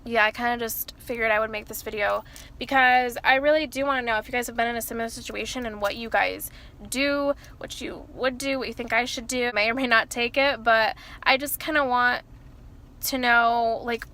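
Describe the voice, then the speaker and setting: A young woman in her early twenties talks to camera from inside her car with a loud, bright and slightly high voice. She rambles, trips over herself and keeps adding "like" and "you know". The small car cabin gives her voice a close, boxed-in sound. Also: fairly even intonation, moderate pace. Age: early 20s. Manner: rambling, self-interrupting, many fillers like "like" and "you know". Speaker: vlogger. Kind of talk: casual confessional chat to camera.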